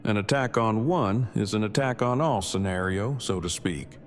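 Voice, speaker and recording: Man acting low-pitched, stilted, wordy nerd. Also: moderate pace, lightly acted. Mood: dry, approving. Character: pedantic, wordy, teasing nerdy man with folksy formality. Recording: faint music under the voice.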